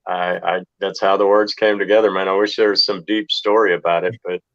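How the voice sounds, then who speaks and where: Dull, dry recording of a low-voiced laid-back man. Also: level tone, a little fast, dry recording. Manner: laid-back, plain-spoken. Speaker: guest, rock band musician. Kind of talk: casual chat. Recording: dull lo-fi, dry close sound.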